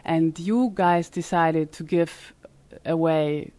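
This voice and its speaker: A woman hosts an interview in a lowish voice, pausing often on "uh" and "um" between phrases. Her voice sounds close and dry. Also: steady tone, warm tone, no room sound. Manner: hesitant, frequent fillers. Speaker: podcast host. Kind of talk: conversational interview. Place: conference summit venue.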